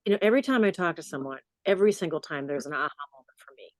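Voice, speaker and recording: A middle-aged woman journalist with a low voice, answering interview questions in a storytelling manner with animated asides. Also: very fast, dry recording, clean studio sound. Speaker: journalist and podcast host.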